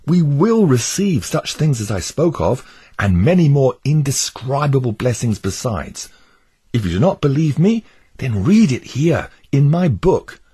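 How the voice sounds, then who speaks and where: A man voicing an earnest devout male pilgrim who pleads fervently with his neighbours, in a husky voice. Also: medium pitch, mellow, pitched above the performer's own voice, heavily acted. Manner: fervent, imploring.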